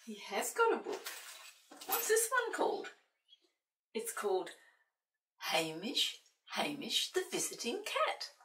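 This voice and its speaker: Older female storyteller for young children, highly animated pitch, playful sing-song questions, recorded in a roomy space away from the mic. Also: slow, pauses often, slight background noise. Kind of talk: animated picture-book reading with asides to young children. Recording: roomy echo, distant microphone.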